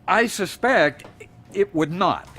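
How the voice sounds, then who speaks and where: A man playing a weary, formal director of a secret base in a science fiction audio drama, with a measured, resigned manner. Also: high-pitched, expressive, bright, forceful tone, full character voice. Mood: dry, weary.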